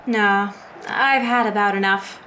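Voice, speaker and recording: A woman acting in an old time radio drama, playing a seemingly soft young woman who is secretly a cold killer. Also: medium pitch, steady tone, unhurried, slight background noise, low-quality recording. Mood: wistful, uneasy.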